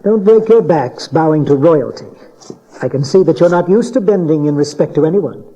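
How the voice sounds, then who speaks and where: A man acts out an imperious, suspicious king in a dramatized Bible tape. His voice rides high and swings widely, snapping commands and dry scorn. The recording is dull, like old cassette. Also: expressive, normal speed, mellow, close to an everyday voice. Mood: cold suspicion. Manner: commanding, sardonic, clipped questioning. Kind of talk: dramatized Bible audio acting.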